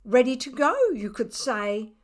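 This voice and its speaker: A woman voicing a smug, defiant male pet cat who makes indignant, mock-innocent excuses in an expressive children's storybook reading. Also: a little high, unhurried, natural voice.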